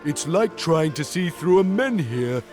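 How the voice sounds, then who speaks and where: A man's voice: fairly high pitch, big pitch swings, unhurried, darkish tone. A man voicing a big, simple, good-natured and ever-hungry Gaulish strongman in a cartoon game, with a naive, hearty manner. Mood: plaintive. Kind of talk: animated game cutscene acting. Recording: music behind the voice.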